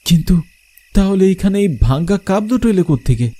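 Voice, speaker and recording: A man's voice: high-pitched, animated, a little fast, warm tone. A man narrating a scripted horror story in the first person, in a dramatic, suspenseful manner. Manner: suspenseful, theatrical.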